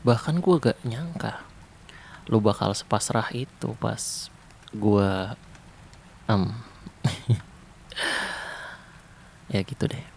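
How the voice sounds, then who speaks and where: A young man doing a soft, intimate, teasing ASMR boyfriend roleplay. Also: on the low side, lively intonation, extremely slow, creaky, long pauses, a little hiss or hum.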